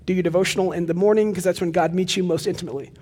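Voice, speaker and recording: A young man preaching a sermon as pastor of a church, with a loud, projecting voice and animated storytelling asides. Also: sits high, rapid-fire, husky, in a large hall. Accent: Texan. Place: large church hall with live audience.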